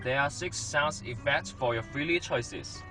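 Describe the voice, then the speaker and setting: A man's voice: medium pitch, unhurried, music in the background. A man narrates a scripted product demonstration in simple, slightly unidiomatic phrasing. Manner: non-native English phrasing.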